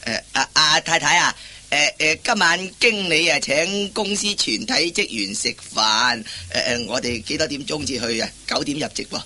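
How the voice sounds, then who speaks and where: A bright-voiced, stammering henpecked husband. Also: male voice, a little high, moderate pace. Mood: nervous, meek. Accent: Cantonese. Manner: stammering, hesitant, apologetic. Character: timid henpecked husband, meek office clerk. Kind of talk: Cantonese radio comedy play acting.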